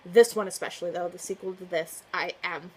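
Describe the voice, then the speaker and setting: A woman's voice: fairly low pitch, steady tone, fairly quick, heavy background noise. A woman talking casually to camera as a book vlogger, chatty and earnest, often trailing off and restarting. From United States. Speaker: booktuber. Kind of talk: casual book tag vlog.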